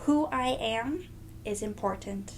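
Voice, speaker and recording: A woman reading a storybook aloud to young children, expressive and gentle, speaking directly to them. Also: fairly high pitch, slow, a little hiss or hum. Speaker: children's read-aloud host.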